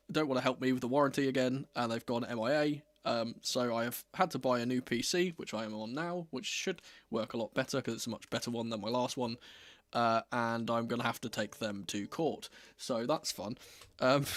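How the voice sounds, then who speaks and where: A slightly croaky man hosts a football livestream in a dry, close sound. His pitch sits in the middle of the male range. He talks casually, with a wry, self-deprecating manner, as someone a little under the weather. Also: no echo. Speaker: football fan streamer hosting a watchalong.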